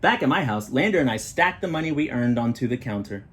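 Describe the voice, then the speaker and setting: A man reading a children's picture book aloud in an expressive storytelling style, with short explanatory asides. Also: mid-range voice, fast talker. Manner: expressive, acting out children's dialogue.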